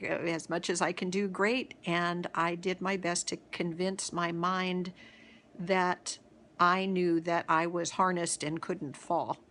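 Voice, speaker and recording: A middle-aged woman telling a reflective personal story as host of a daily video series, halting often, with pauses and restarts. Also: on the low side, a bit bright.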